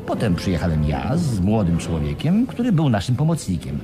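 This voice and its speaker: Shrewd, confident swindler; lively intonation, patiently explanatory, radio drama, music behind. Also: male voice, low voice, normal speed. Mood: smug storytelling. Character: shrewd, self-satisfied male schemer explaining his swindle. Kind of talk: Polish radio play acting.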